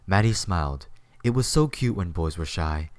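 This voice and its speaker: A man's voice: low voice, lively intonation, moderate pace, darkish tone, clear, resonant tone, no echo, clean studio sound. A man reading scripted story narration as the narrator of an audio drama.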